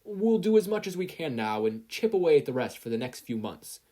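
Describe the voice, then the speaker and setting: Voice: mid-range voice, very animated, brisk, mellow, voice pushed up. A narrator voicing a hearty grown man, a hero mentor who speaks in a formal, encouraging and emphatic way. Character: hearty, booming adult male hero mentor.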